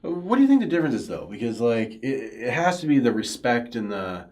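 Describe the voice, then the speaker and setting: A man's voice: mid-range voice, sing-song, a little fast, darkish tone. A man co-hosting a casual panel chat, speaking bluntly and swearing often. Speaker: podcast co-host.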